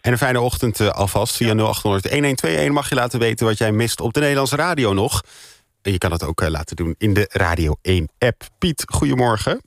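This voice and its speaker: A man's voice: lowish voice, expressive, fast, dry recording. A man hosting a live radio phone-in, talking with listeners from a studio. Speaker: radio host. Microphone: close studio microphone.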